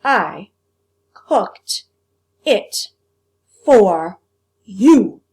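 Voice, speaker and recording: A woman voicing a big, angry male diner owner in a storybook, with forceful, clipped demands. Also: medium pitch, sing-song, drawn-out words. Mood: angry, demanding.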